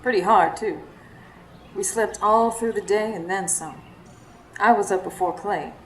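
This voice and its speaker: A woman playing a tough, devoted young heroine telling her story in a radio play. Her pitch is medium. Faint noise sits behind the live stage recording. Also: a little slow, close to an everyday voice, low-quality recording. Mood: reflective. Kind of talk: radio drama narration.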